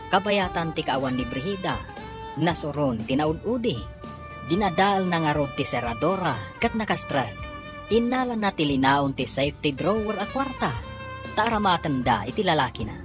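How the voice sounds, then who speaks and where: A woman's voice: sits low, animated, moderate pace. A woman with an Ilocano Filipino accent reading scripted, dramatic narration to introduce a radio serial. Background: music.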